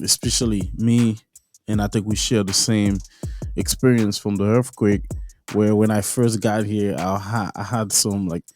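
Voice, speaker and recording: A man's voice: on the low side, mellow. A man from Haiti hosting a casual podcast interview, often stopping and restarting his sentences. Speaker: podcast host.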